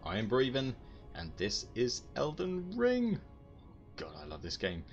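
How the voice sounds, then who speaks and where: A man's voice: mid-range voice, wildly swooping pitch, unhurried, highs cut off. A man giving casual, self-deprecating gameplay commentary as a gaming video host. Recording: game music behind the voice. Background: loud game music.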